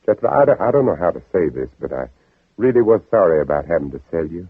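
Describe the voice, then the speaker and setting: A muffled, narrow-band old radio recording carries a haunted gentleman on the run. His voice is low and husky, and he narrates his own flight with theatrical intensity and a guilty, hunted air. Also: very animated, fast, no echo, full character voice. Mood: uneasy guilt. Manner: theatrical, dramatic, intense delivery. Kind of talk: 1950s radio drama, second-person narration.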